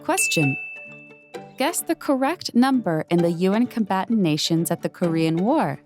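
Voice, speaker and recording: A woman's voice: fairly low pitch, animated, moderate pace, music in the background. A woman announcer reading quiz questions and answers as a formal, scripted voice-over.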